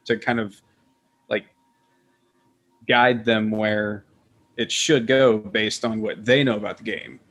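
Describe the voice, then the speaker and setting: A man's voice: lowish voice, fairly bright tone. A man talking casually as a podcast panelist, feeling his way through points in loose phrasing. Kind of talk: casual group discussion.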